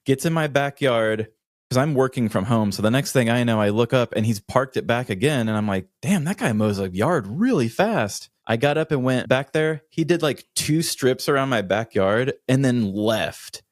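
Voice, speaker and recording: A man's voice: mid-range voice, expressive, moderate pace, dry recording, clean studio sound. An American man co-hosting a podcast, telling casual comedic stories with a dramatic, self-deprecating, complaining manner. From United States. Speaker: podcast co-host.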